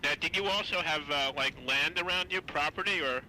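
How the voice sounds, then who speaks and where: Bright-voiced man asking short questions. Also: fairly high pitch, fairly quick, low-quality recording. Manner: short prompting questions, repeats answers back. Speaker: interviewer. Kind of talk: family interview.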